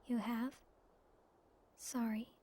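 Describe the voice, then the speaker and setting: Sad teen game heroine, high, slow, soft-spoken, apologetic. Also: female voice, flat delivery. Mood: sad, reluctant. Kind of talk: video game cutscene acting.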